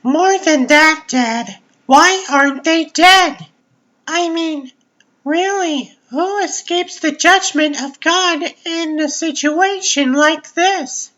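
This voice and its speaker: A woman's voice: high-pitched, slow pace, a bit bright, dull audio. A woman playing a bold, questioning young daughter of a ruler in a comic dub, persistent and matter-of-fact. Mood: probing. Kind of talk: dramatic comic dub acting.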